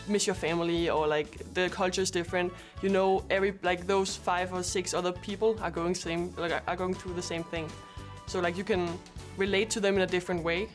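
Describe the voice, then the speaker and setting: Chatty young woman basketball player. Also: on the low side. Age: young adult. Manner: chatty, lots of "like". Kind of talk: interview.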